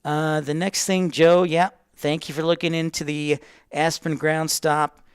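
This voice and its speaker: A man's voice: on the high side, little pitch movement, unhurried, clean tone, no room sound, clean studio sound. A man hosting a livestream, casually explaining online tools step by step and chatting directly with viewers in an informal way. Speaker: livestream host teaching plane-spotting tools.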